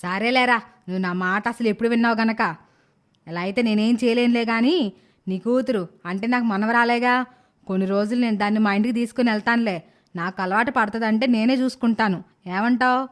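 A woman playing a bossy old grandmother and mother-in-law in an animated moral story, blunt and grumbling. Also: a little high, fairly quick, natural voice. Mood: grudging.

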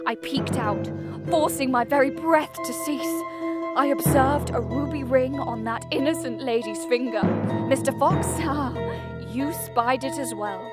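A woman acting a bold young noblewoman in a fairy-tale audio play. She is high-pitched, slow and poised, speaking her accusations theatrically over loud music. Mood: haunted.

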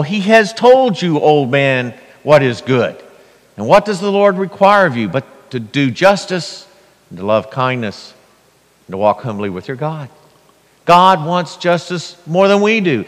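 An older man in his sixties delivers a sermon from a church sanctuary. His voice sits at an ordinary pitch, and he reads scripture in a measured, emphatic way. A long hall reverb trails after his words. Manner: measured, emphatic reading with hand gestures. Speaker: pastor.

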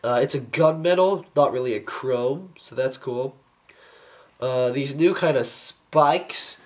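Young male reviewer, unscripted and loose, filler-heavy delivery, average-pitched voice. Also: slow pace. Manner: rambling, many fillers, enthusiastic asides. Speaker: toy reviewer. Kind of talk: casual unscripted toy review. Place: indoors, carpeted room.

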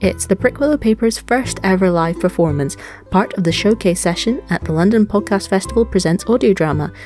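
A woman's voice: mid-range voice, fairly quick, a little mellow. A woman podcast creator reading a scripted introduction to an episode. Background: plucked guitar music.

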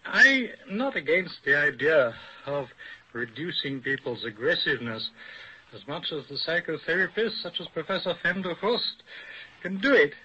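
Male academic, radio discussion. Higher-pitched, piercing, rough-edged delivery; articulate, measured, instructive; dull vintage recording. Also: sing-song, pushed and bright. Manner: deliberate, articulate, didactic. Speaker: academic expert guest, professor.

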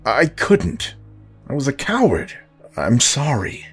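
A man playing a remorseful middle-aged space pirate, earnest and halting, in an audio drama. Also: mid-range voice, huge pitch swings, a bit bright, breathy edge, soft background music, heavily acted.

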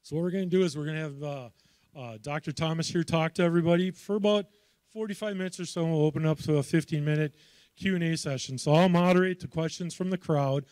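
A man's voice: on the high side, quick pace, dry recording. A middle-aged man moderating an event, introducing the guest admiringly on a handheld mic while losing his voice. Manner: warm, admiring, a few restarts. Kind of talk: introducing a speaker. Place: panel table, conference room. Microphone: handheld microphone.

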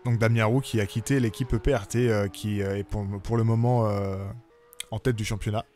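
A man giving relaxed live commentary on a sim racing event, with many hesitations and fillers. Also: lowish voice, brisk, soft background music.

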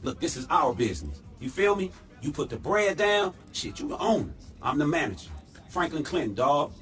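A man's voice: on the high side, big pitch swings, normal speed, fairly bright tone, soft background music. A man playing a confident, streetwise ex-hustler turned businessman in a video game, with slangy, swaggering speech. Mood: upbeat pitch. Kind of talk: video game cutscene acting.

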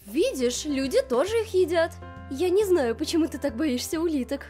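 A tough, teasing fish-monster woman from a cartoon dub, played by a woman. Her voice is high and her delivery blunt and brash. Music sits faintly behind it. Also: animated, moderate pace. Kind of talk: fan comic dub acting.

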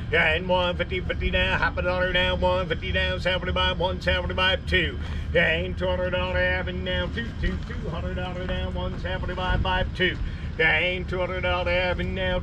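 A man with a high voice rattles off bids in a fast, sing-song auctioneer's chant, padding the numbers with rhythmic filler syllables. He is recorded outdoors at a storage lot on a nearby camera. Steady noise runs under everything. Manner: rapid rhythmic bid calling with filler syllables.

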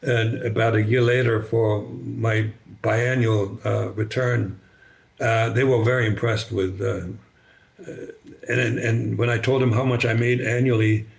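An older man with a slight German accent, German native, telling his story as a podcast guest over a video call, halting with many restarts. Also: lowish voice, little pitch movement, vocal fry. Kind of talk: conversational storytelling. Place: bright living room, indoors. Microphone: video call webcam.

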